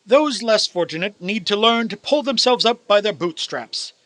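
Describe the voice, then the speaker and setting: A man's voice: high-pitched, animated, normal speed, fairly bright tone. An actor in a radio play voicing a cold, bitter, miserly old businessman who speaks in a pompous, formal way. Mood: bitter, scornful. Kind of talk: stage radio drama acting.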